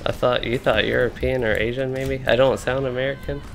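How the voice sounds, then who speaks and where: A man's voice: mid-range voice, flat delivery, a little fast, gravelly, soft background music. A young man from Kentucky, casually commenting while he plays an online game, thinking aloud with many fillers and restarts. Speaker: game streamer.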